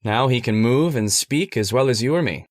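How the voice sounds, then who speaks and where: A man's voice: mid-range voice, expressive, moderate pace, no echo, studio quality, soft background music, lightly acted. A man playing a persuasive, controlling scientist's assistant in a radio horror play, earnest and articulate. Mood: assured, soothing. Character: calm, stubborn, persuasive scientist's assistant devoted to the experiment. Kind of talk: old-time radio horror acting.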